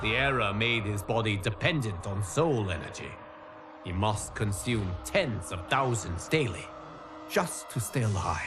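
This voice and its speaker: A man voicing a solemn, wise guardian god, narrating a fighting game story in grave, formal, measured diction. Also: lowish voice, expressive, music in the background. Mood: grave, urgent.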